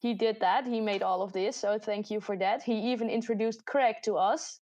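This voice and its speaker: A woman's voice: medium pitch, fairly even intonation, moderate pace, darkish tone, no room sound, dull audio. Dutch-accented woman, dry close sound. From Netherlands. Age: 20s. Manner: chatty, laughs often. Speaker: podcast co-host. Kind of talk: casual chat. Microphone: voice chat recording.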